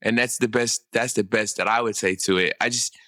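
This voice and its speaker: A man talks in animated, heated bursts full of street slang, pitch swinging up when he gets worked up. His voice is bright and forward, recorded close and dry with no room sound. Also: brisk, dry recording. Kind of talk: casual podcast chat.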